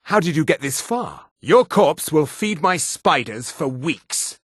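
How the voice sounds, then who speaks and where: A man voicing a haughty high elf mage in a fantasy video game, with formal, precise, theatrical diction. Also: very animated, measured pace, husky, no room sound, clean studio sound, heavily acted. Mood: menacing, agitated. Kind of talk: scripted video game character lines.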